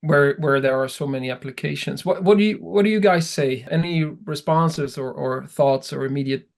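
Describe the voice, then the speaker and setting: A man hosting a webinar panel, eager and reacting with excitement. He often stumbles over and repeats his first words before he opens the floor to the others. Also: fairly high pitch, moderate pace, gravelly. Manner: enthusiastic, with repeated word restarts. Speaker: webinar moderator. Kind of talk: conversational webinar moderation.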